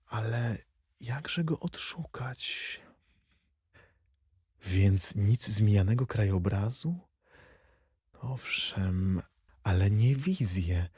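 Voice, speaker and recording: A man playing a poet who tenderly recalls his childhood in a reflective, deliberate literary recitation for an audio play, with expressive pauses. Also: mid-range voice, breathy edge, dry recording, clean studio sound. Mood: musing, nostalgic. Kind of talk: dramatic poetry and memoir recitation.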